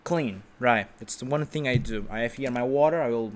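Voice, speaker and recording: A man's voice: mid-range voice, brisk, a little hiss or hum. A man sitting on his sofa at home, delivering an unedited vlog monologue in a brash, self-assured way, rambling with restarts and the odd swear word. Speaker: vlog host.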